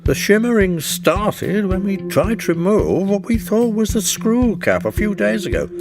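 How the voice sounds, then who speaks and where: A man acts out an Edwardian scientist in an audio drama, intense and grave. The voice sits high and strained upward, pressing each explanation with urgent foreboding. Music plays underneath. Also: big pitch swings, a little slow, exaggerated voice. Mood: excited, urgent. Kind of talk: audio drama character acting.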